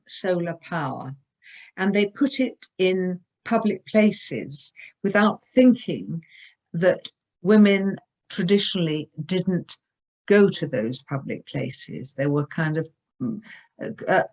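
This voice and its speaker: Female author guest, earnest and halting, low-pitched, dull lo-fi call sound. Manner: earnest, hesitant with many fillers. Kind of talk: virtual panel discussion.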